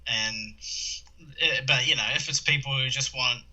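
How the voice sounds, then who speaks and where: A man's voice: mid-range voice, normal speed, pushed and bright, lo-fi sound. A young man from New Zealand with a New Zealand accent, chatting as a podcast guest, rambling with lots of fillers and restarts. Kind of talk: casual chat.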